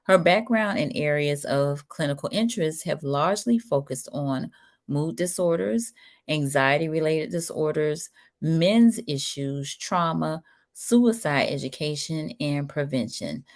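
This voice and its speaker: A woman's voice: low voice, expressive, unhurried, darkish tone. A woman hosting a live-streamed interview show, upbeat and greeting viewers by name. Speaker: talk show host.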